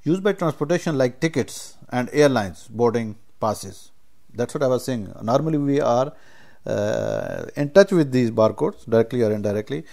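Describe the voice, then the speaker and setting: A man giving an informal step-by-step software tutorial as instructor, speaking haltingly and often checking in with short tags. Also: medium pitch, lively intonation, gravelly. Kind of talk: informal screen-share tutorial, explaining step by step.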